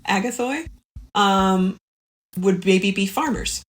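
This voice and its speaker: A woman with a mid-pitched voice chats as a guest on a comedy podcast. Her delivery is slow and casual, often hesitant as she thinks aloud. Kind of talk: casual chat.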